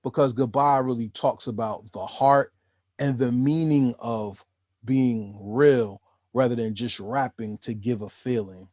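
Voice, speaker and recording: A man hosting an interview, enthusiastic and rambling, sometimes rushing into fast speech. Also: mid-range voice, a little mellow, no echo. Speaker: interview host. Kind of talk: casual interview.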